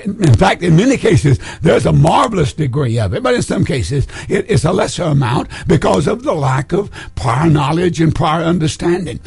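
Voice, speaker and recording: Man, preacher, hoarse, raspy husky voice, emphatic sermon, repeats phrases, dry close sound. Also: fairly high pitch, darkish tone, no room sound. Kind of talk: Pentecostal sermon teaching.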